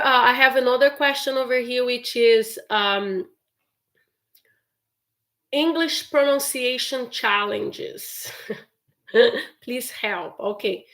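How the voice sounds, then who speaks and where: A woman's voice: on the high side, fairly bright tone. A woman with a Brazilian accent, a native Portuguese speaker, answering questions as an English teacher in a chatty, encouraging live Q&A. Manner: encouraging, chatty, laughs often. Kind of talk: live stream Q&A.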